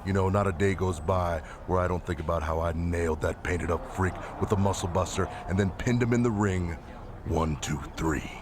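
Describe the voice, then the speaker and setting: An actor playing a cocky, calculating pro wrestler in a video game cutscene, with a sardonic, nonchalant manner. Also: male voice, deep voice, moderate pace, close to an everyday voice. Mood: smug. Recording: noticeable outdoor urban noise.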